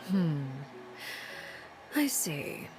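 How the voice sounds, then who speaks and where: Video game matriarch: lowish female voice, cool, aloof, formal, secretive authority, over prominent music. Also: very animated, drawn-out words, heavily acted, poor audio. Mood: cool, guarded. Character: cool, secretive, commanding woman, feared head of an orphan house. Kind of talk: scripted video game dialogue.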